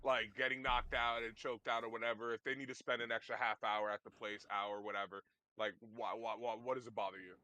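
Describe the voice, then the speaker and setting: Brief: male co-host, tense bright voice, slangy halting banter. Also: medium pitch, level tone. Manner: loose, hesitant, full of slang. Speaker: podcast co-host. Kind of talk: casual chat about fights.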